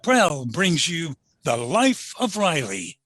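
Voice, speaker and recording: An actor playing the wry announcer and narrator of an old-time radio sitcom. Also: male voice, a little high, very animated, slow pace, heavy background noise. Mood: presentational, wry.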